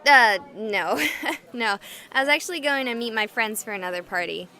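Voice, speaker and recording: A woman playing a goofy, excitable young livestreamer in a visual novel, chatting playfully with exaggerated enthusiasm. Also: on the high side, normal speed. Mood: friendly, evasive. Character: excitable, goofy young female livestreamer exploring haunted places.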